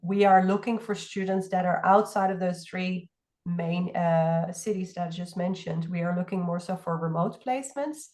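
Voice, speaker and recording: A congested woman with a raspy voice, answering questions at length in an online info session. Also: fairly low pitch, moderate pace, a little mellow. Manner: talks at length in long explanatory runs. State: congested, hoarse from illness. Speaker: partnerships staff member.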